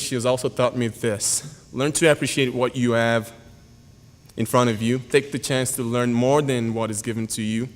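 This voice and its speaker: A young man, a graduating senior whose first language is Yoruba, reading a formal, earnest speech at a podium with halting pauses and fillers. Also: medium pitch. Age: young adult. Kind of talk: prepared speech read at a podium. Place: school auditorium. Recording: hall reverb.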